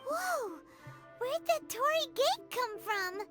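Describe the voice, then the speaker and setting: A woman voicing a tiny, childlike, excitable flying companion girl in a video game, chirpy and full of exclamations. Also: extremely high pitch, takes it slowly, soft background music, full character voice. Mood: surprised, puzzled. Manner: refers to self in third person, exclamatory. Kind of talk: video game character acting.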